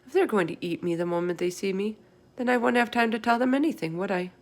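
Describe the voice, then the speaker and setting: A woman's voice: mid-range voice, fast talker, darkish tone, natural voice. Lady playing a little orphan girl in a kids story, curious, straight-talking. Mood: insistent. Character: small orphan girl, frank, plucky and inquisitive.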